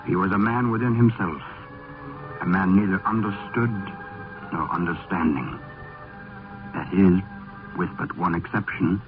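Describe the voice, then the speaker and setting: An actor playing a grave, ominous storyteller, narrating solemnly and dramatically in the style of 1950s radio. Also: male voice, low-pitched, close to an everyday voice. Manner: solemn, portentous, slow dramatic delivery. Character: grave, ominous male storyteller of horror tales. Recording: tinny old radio recording over music.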